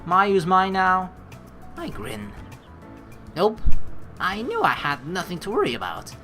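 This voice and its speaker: A woman's voice: on the low side, wildly swooping pitch, slow, pushed and bright. A woman doing a playful let's play, reading game narration aloud and adding teasing, jokey asides. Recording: music behind the voice. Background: game music.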